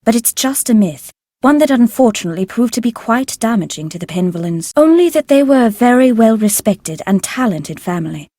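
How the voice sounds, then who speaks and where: A woman voicing a formal, guarded tutor in a video game, measured and stern. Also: a little high, animated, mellow, no room sound, studio quality. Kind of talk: scripted video game dialogue.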